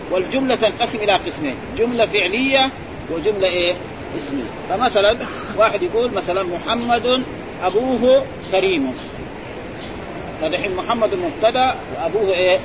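A man, a sheikh teaching grammar who keeps prompting his students with quick questions. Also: high voice. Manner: quizzing, prompting with repeated "eh?" and "ha?". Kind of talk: classroom explanation with questions to students. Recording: muffled recording with crowd and traffic noise.

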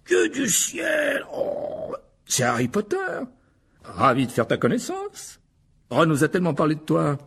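A sheepish middle-aged wizard father, acted out by a male reader for a storybook. He is excitable and easily flustered, stumbling and backtracking as he goes. His voice is husky and swings widely in pitch. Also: a little fast, heavily acted. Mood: surprised, delighted. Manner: stammering, hesitant, backtracking.

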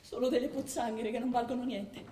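A woman's voice: on the high side, steady tone, a little fast, dark tone, lightly acted. An actress playing a scheming queen who relentlessly goads in a theatrical, insistent way, repeating her phrases. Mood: cold, insistent. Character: scheming queen, mother plotting against her own son, relentless. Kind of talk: theatrical stage drama acting. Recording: distant, echoey hall with background noise.

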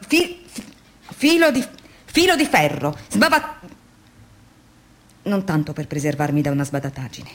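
A weary, husky woman factory worker. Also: mid-range voice. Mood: agitated, obsessive, then subdued, demoralized. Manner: introspective, theatrical, shifting between murmurs and emphatic phrases. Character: weary young woman factory worker, reflective, irritated, demoralized. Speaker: actress. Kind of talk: dramatic radio theatre monologue, diary reading.

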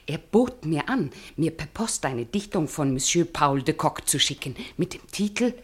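A slightly low female voice, of a well-born married lady. She is prim and affronted, making her accusations in the theatrical manner of radio drama. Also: lively intonation, moderate pace. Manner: indignant, prim. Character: outraged upper-class married lady accusing a man. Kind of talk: theatrical radio play acting.

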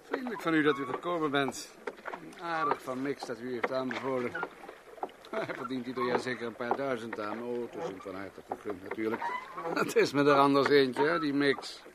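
An actor playing a brisk, genial millionaire in a radio drama, commanding yet affable in manner. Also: male voice, mid-range voice, close to an everyday voice. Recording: clear background noise, boat sound effects.

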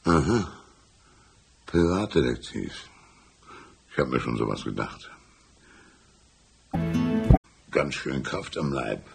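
Tiefer, knarziger, schlauer alter Gesetzeshüter. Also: male voice, rumbling low, sing-song, full character voice. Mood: sly, amused. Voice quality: gravelly, husky. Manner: drawn-out, patronizing, calls listener "my son". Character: folksy, shrewd, unhurried older small-town lawman. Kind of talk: radio drama acting.

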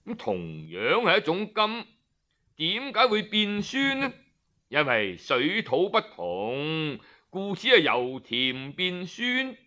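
A man narrating a folk tale in colloquial, expressive Cantonese, in the manner of a traditional storyteller. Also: high-pitched. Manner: colloquial, expressive, with drawn-out phrases and particles.

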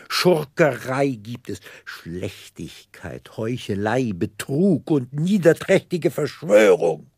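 An actor playing a pompous, theatrical middle-aged debtor clerk in despair, declaiming in florid oratory in a 1960s radio play. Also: male voice, medium pitch, vocal fry, breathy edge, no room sound, clean studio sound, heavily acted. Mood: outraged, ranting.

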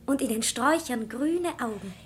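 High-voiced curious child played by a woman. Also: animated, moderate pace, a little hiss or hum, natural voice. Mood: curious, earnest. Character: curious, questioning child of the village. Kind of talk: poetic radio drama acting.

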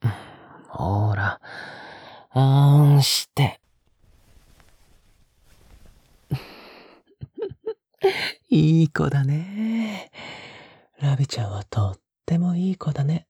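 Audio drama role: doting, controlling captor played by a woman, husky and breathy, swooping intonation, intimate teasing coaxing, close dry sound. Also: low-pitched, wildly swooping pitch, very slow, long pauses, no echo, heavily acted. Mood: coaxing, sweetly controlling. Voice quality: soft, breathy, often dropping to a whisper. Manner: coaxing, teasing, cooing as if to a child. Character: doting, possessive captor coaxing a pet-like captive.

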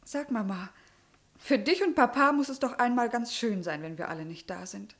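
A woman's voice: on the high side, animated, moderate pace. An actress playing an affectionate, playful young daughter in a radio drama, chatting and teasing in a girlish way. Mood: tender, wistful. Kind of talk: German radio drama acting.

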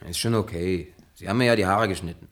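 A man's voice: sits low, fast, lightly acted. An actor in a German radio play, playing a wry, good-natured man who grumbles in a dry, sceptical way. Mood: wry, modest.

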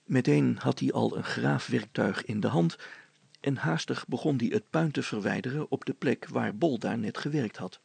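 A man's voice: medium pitch, normal speed, creaky, darkish tone, husky, no echo. A man reading a scripted story as its narrator.

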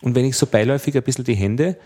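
A man's voice: medium pitch, racing, warm tone, slightly rough. A man hosting a casual interview, curious and playful, often agreeing and joking with his guest. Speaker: podcast host, interviewer.

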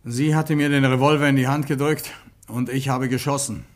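Crime radio play: male actor as protective husband, mid-pitched, strained and halting confession. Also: steady tone, close to an everyday voice. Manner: halting, agitated, defensive. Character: anguished husband confessing, protective of his wife. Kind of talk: German radio crime drama acting.

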